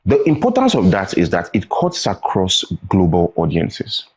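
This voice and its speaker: A man's voice: sits low, wildly swooping pitch, moderate pace, slightly rough. A young adult man with a Nigerian accent, a guest in a studio interview, explaining earnestly and often asking "right?" or "you know". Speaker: tech training founder, guest.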